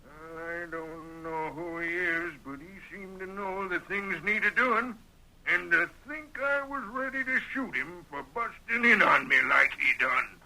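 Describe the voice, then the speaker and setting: An actor playing a crusty, bossy old rancher with an American Western accent, telling things in a folksy, cantankerous way in old-time radio style. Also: male voice, sits high, bright, forceful tone, a little hiss or hum, exaggerated voice. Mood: wondering. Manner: folksy, cantankerous, deliberate storytelling. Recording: thin, tinny old radio recording.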